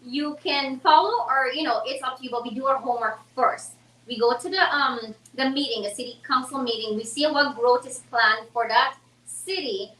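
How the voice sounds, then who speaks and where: A woman's voice: high voice, normal speed, fairly bright tone, far from the mic. A woman realtor, a guest on a talk show, chatting casually and animatedly, laughing often. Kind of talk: casual chat. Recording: roomy sound from off mic.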